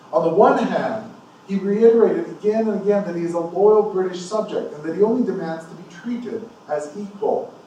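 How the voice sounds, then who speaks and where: A man's voice: on the high side, darkish tone. Echoey, distant man reading an academic paper. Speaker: academic presenter reading another scholar's paper aloud. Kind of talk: formal academic paper read aloud, with brief asides. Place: indoor university conference room. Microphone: distant from the speaker. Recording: echoey room, distant mic, faint noise.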